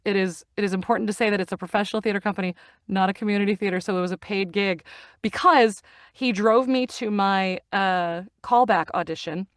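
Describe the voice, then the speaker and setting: A woman's voice: medium pitch, smooth, no echo, clean studio sound. A middle-aged woman chatting as an interview guest, telling stories with many fillers and restarts. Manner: chatty, animated storyteller with frequent fillers and laughs. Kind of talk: casual interview chat.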